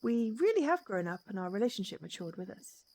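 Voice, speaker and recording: A woman's voice: mid-range voice, sing-song, fast, a little mellow. A woman voicing an earnest, determined young woman in a storybook game, read as dramatic dialogue. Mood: wistful.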